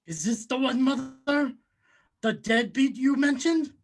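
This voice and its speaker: Man acting a husky, menacing mama's boy. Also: very high voice, a little slow, exaggerated voice. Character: threatening, eccentric mama's boy thug in his forties. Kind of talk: table read of a comedy film script.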